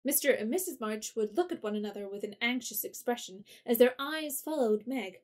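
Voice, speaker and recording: A woman's voice: on the high side, expressive, brisk, darkish tone. A woman narrating a classic story in an audio drama. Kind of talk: scripted narration.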